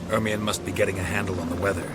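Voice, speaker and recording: An actor playing a gruff, wary middle-aged monster hunter in a video game, speaking tersely and dryly. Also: male voice, deep voice, steady tone, very fast, natural voice. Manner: terse, dry. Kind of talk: video game cutscene acting. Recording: loud storm noise behind.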